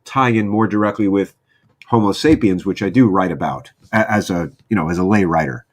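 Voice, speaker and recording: A man's voice: sits low, fairly quick. A man talking casually as a podcast guest, with a wry, joking manner. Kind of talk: casual group chat.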